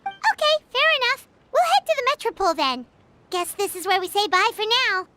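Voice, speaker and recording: A woman's voice: very high voice, sing-song, a little fast, heavy background noise. A woman voicing a small, curious, childlike companion sprite in a video game. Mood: agreeable. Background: loud music.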